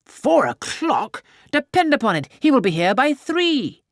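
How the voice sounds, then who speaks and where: A woman voicing a jovial middle-aged gentleman, a proud father, in a hearty, emphatic way for an audiobook. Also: extremely high pitch, sing-song, measured pace, no echo, studio quality, voice pushed up, heavily acted. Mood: confident, hearty. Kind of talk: audiobook character dialogue.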